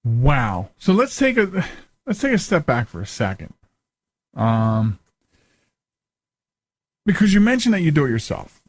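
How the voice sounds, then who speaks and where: A man's voice: a little high, wildly swooping pitch, measured pace, pauses often, no room sound, clean studio sound. A man hosting a radio interview in a conversational, rambling way, circling back and repeating phrases. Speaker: radio show host.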